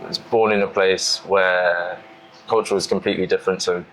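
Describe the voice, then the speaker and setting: A British man speaking reflectively as an interview guest, thoughtful and halting, pausing as he gathers his words. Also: low voice, level tone, a bit bright, slight background noise, rough recording. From United Kingdom, London. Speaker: interview guest, touring cyclist.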